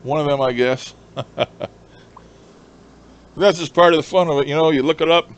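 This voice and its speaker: A man's voice: a little high, normal speed, lo-fi sound. A man chatting casually in a folksy, rambling way as he explains his hobby. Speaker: shortwave radio hobbyist presenter.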